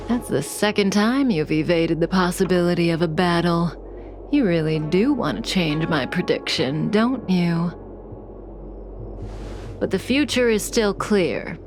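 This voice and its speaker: Low, composed, enigmatic woman mastermind. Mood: teasing, confident. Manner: measured, composed, coolly playful. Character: calm, enigmatic, teasing woman, a manipulative mastermind. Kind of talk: video game voice acting. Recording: music behind the voice. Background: game soundtrack music.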